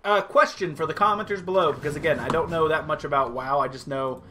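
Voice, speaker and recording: A man's voice: fairly high pitch, fast talker. A man giving casual, rambling let's-play commentary, breaking off to talk to the units in his game. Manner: rambling, self-interrupting, talks to game units. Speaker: video game playthrough host. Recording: game music behind the voice. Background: video game music and sound effects.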